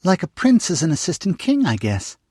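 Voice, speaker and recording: A man voicing an eager, blunt young girl who talks excitedly in a raised voice. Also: no echo, clean studio sound, heavily acted. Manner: excited, high and quick.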